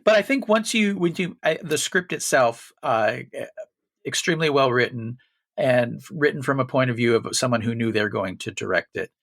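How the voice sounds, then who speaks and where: A man co-hosting a casual film podcast, rambling with frequent restarts and animated bursts. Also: mid-range voice, normal speed, creaky, no echo, clean studio sound. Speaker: podcast co-host.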